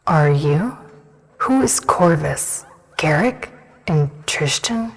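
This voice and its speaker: A woman playing a stern, guarded warrior of an ancient dragon-blooded race in a fantasy audio drama, speaking in a measured, commanding way. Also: sits low, expressive, husky, exaggerated voice. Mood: guarded, questioning.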